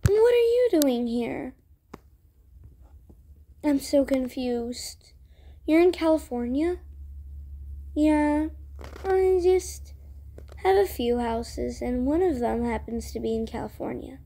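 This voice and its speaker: A woman improvising character dialogue for a roleplay story video, with halting, hesitant delivery. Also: sits high, animated, dark tone. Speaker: video creator voicing all characters.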